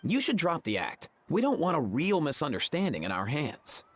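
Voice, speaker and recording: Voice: medium pitch, huge pitch swings, moderate pace, faint music behind. An actor playing a sassy, teasing companion in a video game, blunt and drawling. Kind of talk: voice-acted game dialogue.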